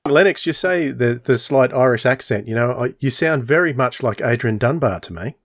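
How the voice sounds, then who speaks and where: A man's voice: mid-range voice, lively intonation, normal speed, no echo. Dry-sounding man in a casual interview. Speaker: podcast host.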